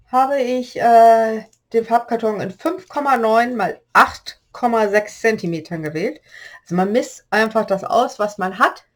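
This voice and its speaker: A woman with a slight cold-hoarse voice, chatting through a step-by-step paper-craft tutorial and explaining the measurements as she works. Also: medium pitch. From Germany.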